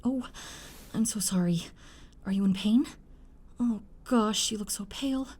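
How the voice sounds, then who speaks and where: A woman's voice: mid-range voice, measured pace, darkish tone, husky. A woman voice-acting a middle-aged, fiercely protective, doting mother in an audio roleplay, effusive and fussing in manner. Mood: fussing, worried.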